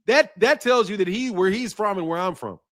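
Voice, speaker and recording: Animated slangy man streaming on boxing. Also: sits high, brisk. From Chicago area. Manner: animated, slangy, loud outbursts and laughter. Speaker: boxing channel host. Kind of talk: casual livestream talk. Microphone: streaming mic.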